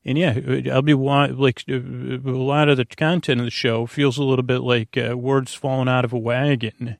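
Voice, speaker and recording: A man with a creaky, fry-edged voice in a dry, close recording. He hosts a bedtime podcast, wandering through a rambling monologue. His delivery is hesitant, with stammered repeats and sentences that trail into tangents. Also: medium pitch, flat delivery, normal speed, no echo. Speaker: host of a bedtime sleep podcast.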